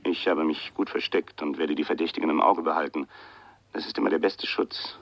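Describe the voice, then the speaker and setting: An actor playing a secretive anonymous caller in hiding in a radio crime drama, measured, knowing and confiding, in with a gravelly voice. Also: male voice, a bass voice, steady tone, no room sound, heavily acted. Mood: calm, conspiratorial. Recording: dull, dry, band-limited telephone-style radio recording.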